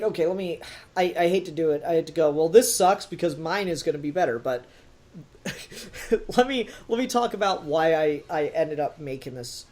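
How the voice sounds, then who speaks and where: A man's voice: fairly high pitch, a little mellow. Emphatic, opinionated man talking casually. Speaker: podcast host and reviewer. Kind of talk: casual critical discussion.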